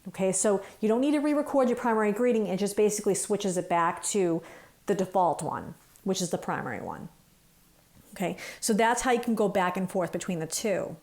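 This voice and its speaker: Woman trainer walking people through office stuff step by step, conversational, medium pitch. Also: expressive, a little fast, a little mellow. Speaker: phone system trainer. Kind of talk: informal instructional walkthrough.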